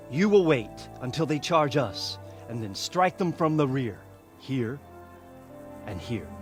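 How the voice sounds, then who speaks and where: A man's voice: mid-range voice, close to an everyday voice. An actor playing a wounded male lord who briefs his men on a battle plan with firm authority. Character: wounded, stern male lord commanding a siege. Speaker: military commander.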